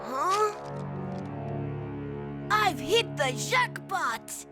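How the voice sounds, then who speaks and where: A brash little cartoon street urchin. The voice is extremely high and bouncy, playful and cheeky, with loud music behind. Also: female voice, big pitch swings, extremely slow, heavily acted. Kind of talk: animated series acting.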